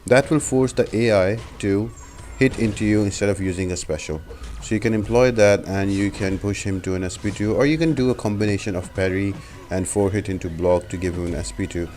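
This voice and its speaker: A man's voice: fairly low pitch, a little fast, hardly any pauses, a little mellow, slight background noise. A man giving a step-by-step gameplay tutorial as a voice-over, explaining things as a guide host. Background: background music.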